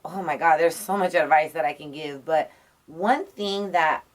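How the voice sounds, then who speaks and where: A young woman with a raspy voice, a radio personality chatting as an interview guest, emphatic and motivational. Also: low-pitched. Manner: emphatic, motivational, quick to laugh. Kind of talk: casual chat.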